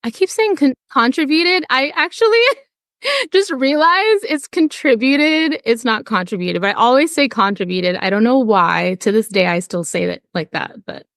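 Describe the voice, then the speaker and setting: Young filipina singer, smooth clear voice, laughs a lot, dry close sound. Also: female voice, high-pitched, expressive, moderate pace, a bit bright, no echo. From Philippines. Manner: laughs often, chatty and easygoing. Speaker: singer-songwriter guest. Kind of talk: casual podcast chat.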